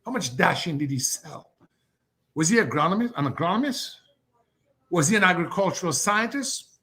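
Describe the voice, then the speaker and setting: Fiery high-voiced older man. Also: big pitch swings, normal speed, sharp tone. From Dominica. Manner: fiery, repetitive rhetorical lists. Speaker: guest commentator. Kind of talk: impassioned political talk show commentary.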